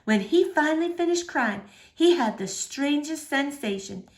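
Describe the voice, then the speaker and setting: Lively, high-voiced woman reading a storybook. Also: a little slow. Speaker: storybook reader. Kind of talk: expressive children's book narration.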